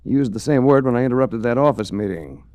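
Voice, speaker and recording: An actor playing a terse, controlled hard-boiled detective in a 1940s radio drama. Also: male voice, medium pitch, expressive, fast talker, a little mellow, no room sound, close to an everyday voice. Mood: dryly confident. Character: cool, hard-boiled male detective hero. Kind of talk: 1940s radio detective drama acting with first-person narration.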